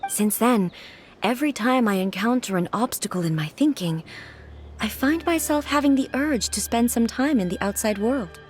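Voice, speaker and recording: A woman voicing an ancient, stern goddess ruler who speaks in a measured, formal and grave way. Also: a little high, animated, soft background music, natural voice. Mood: solemn. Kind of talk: game dialogue.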